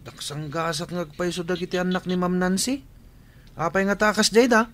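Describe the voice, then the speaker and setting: A woman's voice: lowish voice, steady tone, normal speed, lightly acted. Woman playing a curious questioning youngster. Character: curious, questioning child of a proud mother. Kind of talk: Ilocano radio drama acting.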